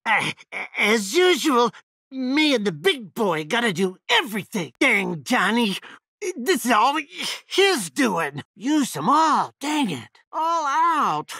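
A sadistic young backwoods killer for a video game. His voice is very high for a man and husky, swinging up and down in pitch. He talks with a Texan drawl, giddy and twitchy, tripping over his words in a stammer. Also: dry recording, studio quality, heavily acted. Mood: grumbling, worried. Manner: stammering, excitable, folksy. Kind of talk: video game character voice lines.

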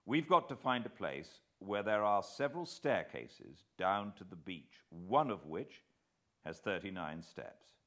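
A man's voice: deep voice, very animated, a little slow, bright, forceful tone, exaggerated voice. A British man voicing a determined, decisive amateur sleuth in a storybook reading. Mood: intent. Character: determined, urgent male amateur sleuth hero.

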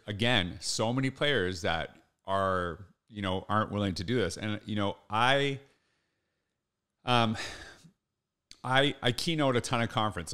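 A man's voice: fairly low pitch, a bit bright, clear, resonant tone. A middle-aged man hosting a casual solo podcast, speaking conversationally and earnestly, repeating points for emphasis, close to a desk microphone in a home office. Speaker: podcast host. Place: small home office, indoors.

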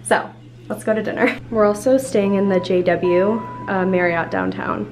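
A woman's voice: mid-range voice, steady tone, moderate pace, vocal fry, a little mellow, faint music behind, low-quality recording. Chatty young woman vlogging casually. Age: 20s. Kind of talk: casual vlog chat to camera. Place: small hotel bathroom. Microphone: handheld camera at arm's length.

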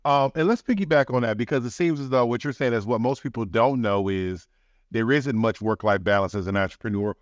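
A man's voice: mid-range voice, brisk, no room sound, clean studio sound. A man in his thirties hosting a podcast, asking questions in a conversational interview style. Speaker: podcast host. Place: indoor room, video call.

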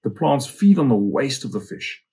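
Husky man presenting. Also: medium pitch, very animated, moderate pace, dark tone. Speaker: company founder and farmer presenting his farm. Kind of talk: scripted promotional presentation.

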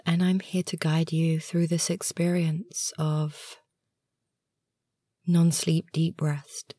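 Slow, low-pitched woman guiding meditation. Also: dry recording, studio quality. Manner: soothing, unhurried, gentle. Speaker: yoga nidra meditation guide, channel host. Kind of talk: guided meditation narration.

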